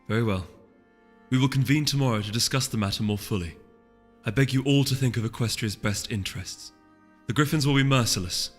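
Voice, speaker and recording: A man's voice: medium pitch, close to an everyday voice. Man playing an earnest, solemn pony princess. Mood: grave appeal. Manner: formal, measured, solemn. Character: gentle, earnest, pleading pony princess ruler. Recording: long reverb, music underneath.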